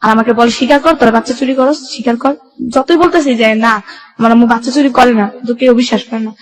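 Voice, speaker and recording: Young bangladeshi woman telling her life story, talks really fast, everyday colloquial bengali accent, keeps quoting people. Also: a little high, steady tone, poor audio. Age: young adult. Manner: colloquial run-on storytelling with reported dialogue. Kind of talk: personal life-story narration.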